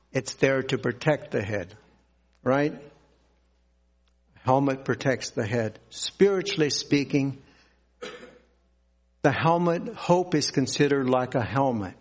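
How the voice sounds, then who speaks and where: A man preaching a sermon, reading scripture aloud and explaining it in a deliberate, earnest way. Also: medium pitch.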